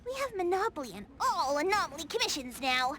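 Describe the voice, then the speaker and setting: A woman voicing an excitable, boastful young girl detective in an anime-style game, with an exuberant, declamatory delivery. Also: very high-pitched, brisk, a little hiss or hum, full character voice. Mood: surprised, cheerful. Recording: music behind the voice.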